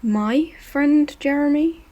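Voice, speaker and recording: A woman's voice: high voice, slow pace, mellow, dull audio. A woman playing a hesitant, guarded young artist staying as a house guest.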